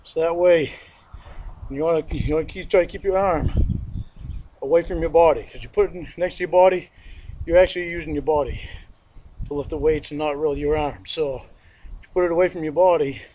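A man's voice: fairly high pitch, brisk, long pauses, dark tone, a little hiss or hum. A middle-aged man demonstrating a workout in a backyard, plain-spoken and sniffling from allergies, recorded on a distant camera mic. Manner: plain-spoken, repeats himself, counts reps aloud. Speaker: home fitness instructor. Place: outdoor backyard lawn.

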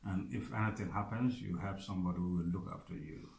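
A man from South Sudan, in his forties, speaks in a very low voice, halting and earnest. He often repeats himself as he gathers his thoughts. The sound bounces off the bare walls of a small office, picked up by a camera a few feet away. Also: vocal fry, a little mellow, reverberant. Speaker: operations manager of a resettlement group. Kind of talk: reflective interview answers. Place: small office room indoors.

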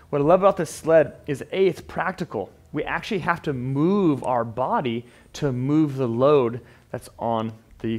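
A man in his thirties talks through gym gear in an indoor studio with turf underfoot. His voice sits at an ordinary middle pitch, and his delivery is eager and emphatic. He often doubles back on a word before pushing on. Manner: enthusiastic, emphatic, repeats words when restarting. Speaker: fitness educator. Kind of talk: unscripted equipment walkthrough to camera.

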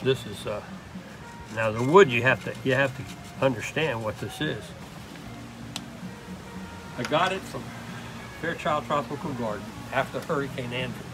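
An older man demonstrating woodworking in an open workshop, talking in a halting, rambling way full of restarts. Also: mid-range voice, over music, poor audio. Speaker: woodturner demonstrating. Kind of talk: casual hands-on demonstration.

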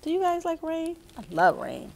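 A middle-aged woman reading and explaining to children as a story time host, expressive and speaking directly to her young listeners. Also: very high voice, moderate pace, creaky, slight background noise. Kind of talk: children's read-aloud and instruction. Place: small indoor reading nook with bookshelves.

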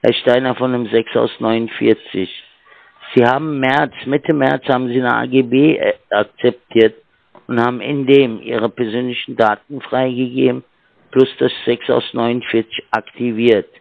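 A man calling as a sales agent by phone, halting and fumbling with fillers, heard over a tinny phone line. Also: medium pitch, fairly even intonation, vocal fry, no echo. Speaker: scam caller. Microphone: telephone.

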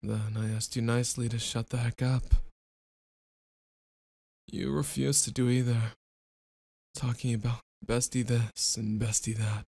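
A man playing a jealous, possessive bully boyfriend in an ASMR roleplay, taunting with slow, deliberate, mocking menace. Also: medium pitch, gravelly, a little mellow, dry recording. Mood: cold taunting.

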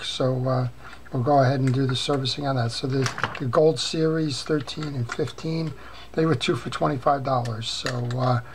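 An older man hosting a fishing reel repair channel, talking casually while showing reels on his workbench, with many "uh" pauses. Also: mid-range voice. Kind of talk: casual show-and-tell. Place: workbench at home. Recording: clear background noise.

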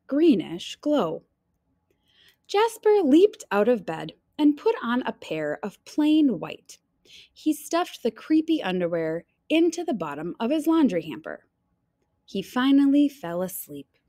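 A woman reading a children's picture book aloud in an expressive style, stretching key phrases for drama. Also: mid-range voice, no echo, clean studio sound.

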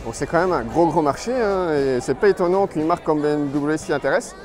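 Guy doing chatty event commentary, talks right to viewer, voice a bit high, music behind. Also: expressive, fairly quick, darkish tone. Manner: chatty, addresses the viewer directly. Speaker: motorcycle magazine video presenter. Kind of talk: casual event report commentary.

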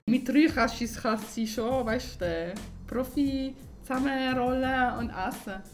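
Lebhafte Italienerin mit Akzent. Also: female voice, high-pitched, a little slow. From Italy. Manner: lively, expressive. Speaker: guest. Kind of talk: casual interview chat. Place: apartment living room. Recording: music playing underneath.

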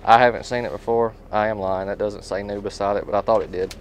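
A man in his thirties with a lowish voice talks in a folksy, rambling way about products. It is casual dealer talk, with some background noise. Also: fairly even intonation, fast. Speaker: tool truck dealer. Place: inside a tool truck.

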